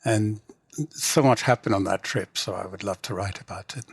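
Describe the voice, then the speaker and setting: A man's voice: lowish voice, vocal fry, slightly rough, no room sound, clean studio sound. A middle-aged man from England, a translator and novelist, talking casually in an interview with many restarts and fillers. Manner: halting, many restarts and "you know" fillers.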